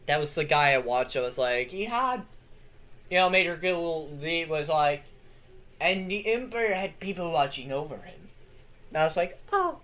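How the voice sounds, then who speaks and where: A young man hosting a lore video, reading his script in a casual, excitable way, swearing freely and breaking into yells. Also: on the high side, moderate pace.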